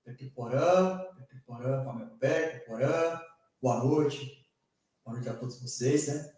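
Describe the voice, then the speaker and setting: A man's voice: mid-range voice, takes it slowly, mellow, recorded from a distance. Sao guy talking as a guest, earnest, says né a lot, very echoey. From São Paulo state, Brazil. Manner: earnest, rhetorical, frequent "né" tags. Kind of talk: interview talk. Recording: very echoey room, voice from off-mic.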